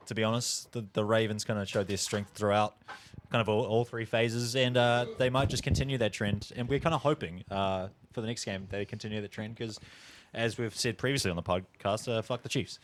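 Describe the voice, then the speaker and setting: A man's voice: lowish voice, a little hiss or hum. A man co-hosting a casual sports chat podcast. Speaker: podcast co-host.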